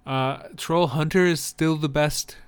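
Clear-voiced, easygoing man in casual chat. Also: fairly high pitch, fairly even intonation, a little slow. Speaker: podcast co-host. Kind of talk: casual chat about films.

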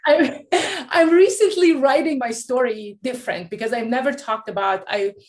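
Late 30s woman from iran, stops and restarts a lot, opening up about her past. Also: a little high. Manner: halting, with many restarts and fillers. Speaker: guest, life coach. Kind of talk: candid personal storytelling.

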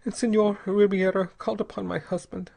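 A man voicing a terrified, despairing young married woman in an audiobook story, with a breathy, halting, pleading delivery. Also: high-pitched, flat delivery, dark tone, pitched above the performer's own voice. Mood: anguished recounting. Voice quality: breathy, gasping.

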